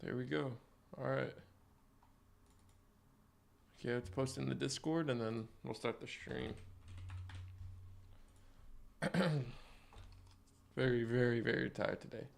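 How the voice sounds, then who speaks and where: A man live-streaming day-trading commentary, hoarse and losing his voice, who chats with viewers and walks through charts in a casual way. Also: mid-range voice, steady tone, slow, long pauses. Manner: chatty, greets chat viewers by name.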